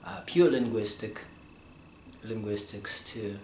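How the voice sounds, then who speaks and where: A man from Russia, a scholar answering interview questions, pausing often as he searches for his words. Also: on the low side, a little mellow. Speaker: scholar interviewed about manuscript studies. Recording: roomy echo, faint background hum.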